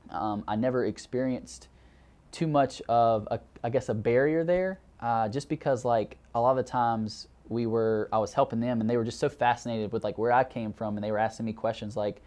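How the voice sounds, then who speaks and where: A man's voice: medium pitch, fairly even intonation, normal speed, a little mellow. A young man from Alabama with a Southern accent, a graduate counseling student telling a story in a documentary interview, earnest and reflective, with lots of "like" and "you know". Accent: Southern American. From Alabama, United States. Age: young adult. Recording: music playing behind the voice.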